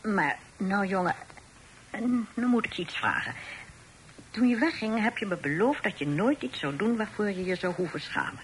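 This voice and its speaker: An actress playing a caring, gently firm mother of a grown son in a Dutch radio play, with a soothing motherly manner. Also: mid-range voice, lively intonation, moderate pace, close to an everyday voice. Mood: tender, earnest. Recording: noisy old radio drama recording.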